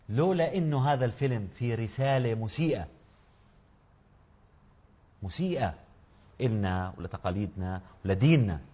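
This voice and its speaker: A man with a Jordanian accent presenting opinionated commentary, emphatic and indignant, full of rhetorical questions. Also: medium pitch.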